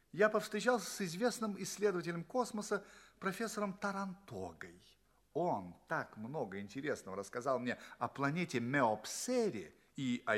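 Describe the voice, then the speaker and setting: An earnest space traveller telling his adventures in an audio play. His voice is a little high and swoops widely. He is expressive and polite, and he pauses for dramatic effect. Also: heavily acted. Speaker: narrator and lead actor. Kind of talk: theatrical first-person audio play narration.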